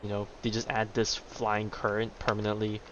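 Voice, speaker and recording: A man's voice: lowish voice, completely flat delivery, moderate pace, a bit bright, a little hiss or hum. A man giving casual, offhand commentary as he streams a game, with wry reactions. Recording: dull, muffled lo-fi microphone. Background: game music.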